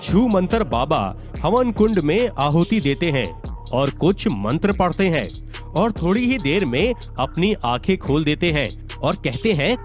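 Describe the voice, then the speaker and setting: Animated male storyteller over music. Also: on the high side, a little slow. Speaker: narrator. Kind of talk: expressive scripted storytelling narration in Hindi. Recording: music behind.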